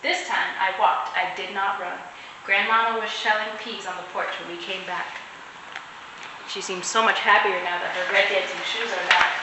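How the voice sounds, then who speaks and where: A woman reading a children's storybook expressively, in an animated way that speaks directly to young listeners. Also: medium pitch, moderate pace. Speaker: read-aloud storyteller for children. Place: echoey room at home. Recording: echoey room, distant mic, faint noise.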